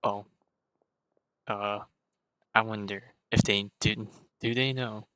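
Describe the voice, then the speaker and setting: Rich: A low-pitched man with a bright, forceful tone, chatting casually as he reacts to a game. His voice is close and dry, with game music faintly under it. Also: steady tone, slow, smooth, no echo, poor audio. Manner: casual, slangy, thinking aloud. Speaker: game streamer.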